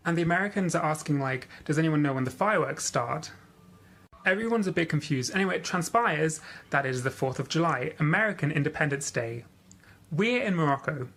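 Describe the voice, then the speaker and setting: A man's voice: on the high side, a bit bright. A man telling an amused travel story in a scene-setting social media clip.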